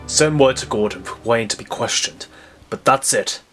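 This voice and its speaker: An actor playing a stern, loyal male district attorney, firm and measured, in an audio drama. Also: medium pitch, music in the background, lightly acted. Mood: firm, troubled.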